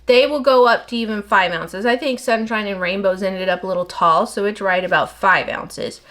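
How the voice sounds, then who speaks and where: A middle-aged woman with a slightly nasal voice chats in a rambling, casual way while she works. Her words run on quickly, as if she barely pauses to breathe. Her pitch sits in a middle range. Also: fairly bright tone. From United States. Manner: rambling, talks fast without pausing for breath. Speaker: soap maker. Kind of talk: casual chat while working. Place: home soap workshop.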